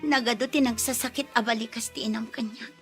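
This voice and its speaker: A woman's voice: a little high, fairly even intonation, quick pace, loud music behind the voice, lightly acted. A woman playing a hurt, sincere young girlfriend in a radio drama, plaintive and earnest, with a Filipino accent. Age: young adult. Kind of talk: Ilocano radio drama acting.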